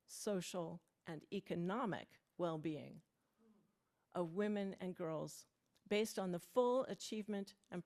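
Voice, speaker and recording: A woman leading part of a worship service, reading a prepared reflection in a measured, deliberate way. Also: on the low side, darkish tone. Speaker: worship leader.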